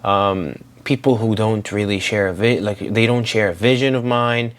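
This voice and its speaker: A man's voice: on the low side, steady tone, moderate pace. A man in his twenties, originally from Syria, talking reflectively in a personal daily vlog, rambling with many fillers and restarts. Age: 20s. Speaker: daily vlogger.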